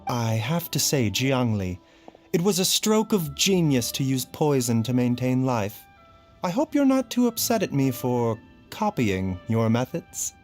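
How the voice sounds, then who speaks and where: A man playing a calm, courteous, self-sacrificing doctor in video game dialogue, with a polite, composed and reassuring manner. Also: medium pitch, unhurried. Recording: background music under dialogue.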